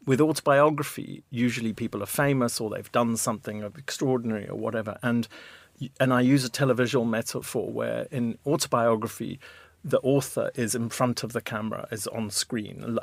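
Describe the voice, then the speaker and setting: A man's voice: medium pitch, gravelly, no room sound. Middle-aged Zimbabwean-accented man, reflective author guest, dry studio sound. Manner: reflective, self-deprecating, restarts often. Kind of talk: radio interview.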